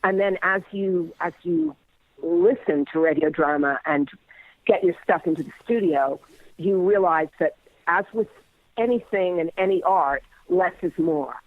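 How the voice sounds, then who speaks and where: A woman being interviewed as a writer, explaining her craft conversationally and deliberately, pausing mid-thought. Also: fairly low pitch, expressive, dry recording. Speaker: interview guest, audio playwright. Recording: narrow phone-like band.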